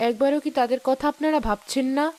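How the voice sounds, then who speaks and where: A woman's voice: high voice, fast, darkish tone, lightly acted. A woman voicing a village headman's compassionate, outspoken wife in a cartoon, reproachful and moralizing. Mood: worried, reproachful. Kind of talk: cartoon voice acting.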